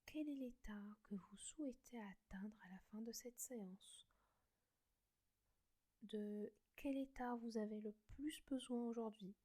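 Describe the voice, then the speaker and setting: Female meditation guide, breathy whisper, slow and mellow. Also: a little high. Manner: soft slow whisper, gentle instructions.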